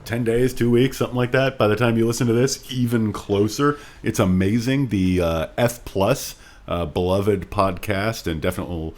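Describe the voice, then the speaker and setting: Deep-voiced man bantering on a podcast. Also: moderate pace, darkish tone. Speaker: podcast host. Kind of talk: casual podcast banter about books.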